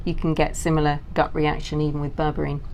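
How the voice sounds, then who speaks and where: A woman with a low-pitched voice talks as an interview guest, working through technical explanations in conversational speech. There is a faint noise behind her voice. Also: monotone, fast talker, a little mellow, poor audio.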